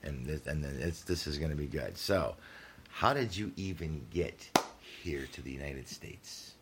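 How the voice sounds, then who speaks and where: A man's voice: extremely low pitch, unhurried, heavy background noise. A man hosting a casual podcast interview, steering the guest with encouraging questions and short interjections. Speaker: podcast host.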